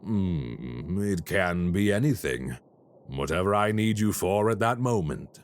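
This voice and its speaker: A man voicing a cold, calculating crime boss in an audio roleplay, speaking in a slow, measured and formally menacing way. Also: sits low, expressive. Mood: menacing offer.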